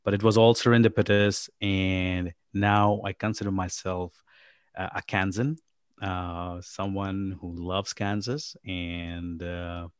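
A man's voice: deep voice, little pitch movement, takes it slowly, clean tone, no echo. A middle-aged man from Pakistan, a startup founder chatting in a podcast interview, rambling with lots of ums and uhs. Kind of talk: casual interview chat.

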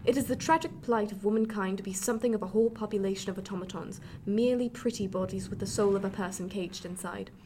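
A woman's voice: medium pitch, quick pace, hardly any pauses, dark tone, natural voice. A woman plays a bitter 18th-century lady and mother in a radio drama. She speaks in formal period phrases with a dry, cutting edge, over faint background noise. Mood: bitter, grim.

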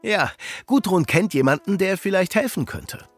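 An actor playing an earnest, polite, persuasive everyman in a German children's audio drama, measured in his delivery. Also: sits high, lively intonation, faint music behind.

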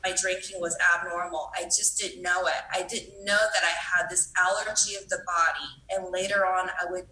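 A woman's voice: on the low side, fairly even intonation, moderate pace, few pauses, bright, forceful tone, reverberant, slight background noise, poor audio. A woman from Taiwan speaking candidly at a recovery meeting, telling her own story with frequent fillers and self-deprecating humor. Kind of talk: personal recovery talk.

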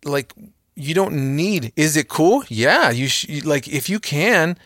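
A man's voice: on the high side, lively intonation, slow pace, a bit bright, dry recording, studio quality. A man in his thirties giving earnest, motivational advice in a casual podcast conversation. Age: 30s.

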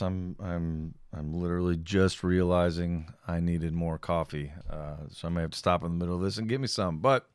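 A middle-aged man with a calming voice, hosting his own podcast in a relaxed, rambling way with self-deprecating humor. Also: fairly low pitch, clean tone, no echo, studio quality. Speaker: podcast host. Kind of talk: casual solo podcast monologue.

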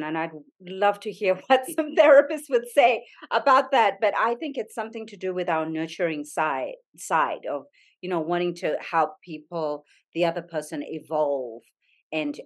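A middle-aged woman from Zambia speaks in a fairly low voice. She is a podcast guest who talks earnestly and thoughtfully, often restarting her sentences as she works through a hard subject. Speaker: podcast guest, yoga studio owner. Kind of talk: reflective conversational interview.

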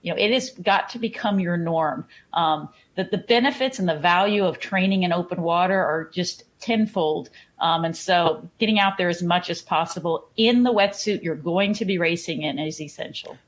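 Chatty Texan woman, lowish voice. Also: moderate pace, hardly any pauses. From Houston, Texas. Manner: chatty, repeats words when starting sentences. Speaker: triathlon coach. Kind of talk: podcast interview answers.

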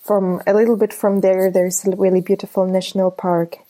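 A woman's voice: mid-range voice, little pitch movement, brisk, mellow. A woman in her twenties from Germany, a photographer answering interview questions in a hesitant, casual way with many fillers. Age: 20s. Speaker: guest photographer.

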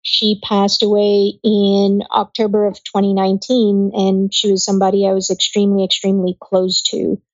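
A woman in her thirties from Kenya, a podcast guest speaking in a steady, reflective way. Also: medium pitch, moderate pace, hardly any pauses, dry recording. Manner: steady, reflective, even-toned. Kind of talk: casual interview chat. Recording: dry, dull lo-fi sound.

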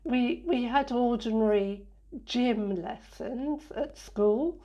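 A woman from England answering interview questions in an unhurried, reflective way, with many fillers and restarts. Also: on the high side, mellow, muffled recording.

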